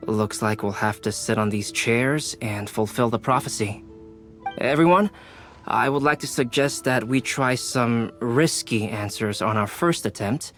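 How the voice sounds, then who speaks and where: An actor playing a calm, cautious, analytical young scholar in a video game, measured and composed. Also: male voice, medium pitch, soft background music, close to an everyday voice. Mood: calm, careful. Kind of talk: video game character acting.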